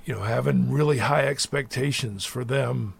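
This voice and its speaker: A middle-aged man, a college basketball head coach, answering interview questions in a thoughtful, measured way with frequent restarts. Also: mid-range voice, vocal fry, slightly rough, no echo.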